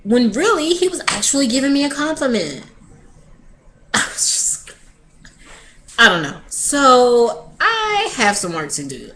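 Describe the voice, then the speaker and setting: A woman's voice: medium pitch, fairly bright tone. A woman in her thirties sharing a candid personal video diary, hesitant and often restarting her sentences. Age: 30s. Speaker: vlogger.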